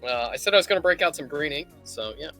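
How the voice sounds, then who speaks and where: A man's voice: fairly high pitch, expressive, fast, sharp tone, faint music behind. A man hosting a casual live painting tutorial, thinking aloud and rambling as he works. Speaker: livestream host teaching miniature painting.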